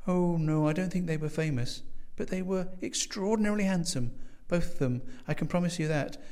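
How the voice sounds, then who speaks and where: A man's voice: a little high, a little fast, darkish tone, pitched above the performer's own voice, close to an everyday voice. A man voicing a genteel older landlady in a story, gushing, absent-minded and sweetly fussy. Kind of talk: storybook character voice.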